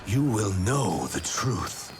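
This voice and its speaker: An actor playing a proud, honourable reptilian fugitive in a video game, speaking formally and gravely. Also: male voice, on the low side, big pitch swings, slow, background noise. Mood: grave, urgent. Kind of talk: cinematic video game acting.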